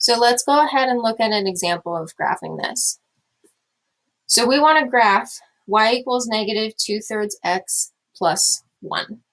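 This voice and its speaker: A woman's voice: on the high side, measured pace, fairly bright tone. A young woman teacher giving a recorded math lecture, her voice a little scratchy, explaining steadily with some fillers.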